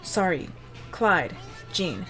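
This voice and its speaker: A woman's voice: on the low side, sing-song, very slow, pushed and bright, heavily acted. A woman playing a tough, protective, blunt teenage girl from a 1950s gang in a comic dub. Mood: wary. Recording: music behind the voice.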